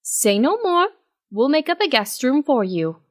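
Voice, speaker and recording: A woman acts out a young female cartoon pony musician for an audio story. She sounds high-pitched, fussing and exasperated. She insists on her point and scolds like a worried friend. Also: big pitch swings, measured pace. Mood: insistent.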